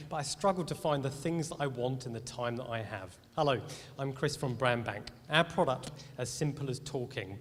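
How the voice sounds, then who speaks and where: A man delivering a rehearsed, scripted startup pitch in a steady manner. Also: a little hiss or hum. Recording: long hall reverb.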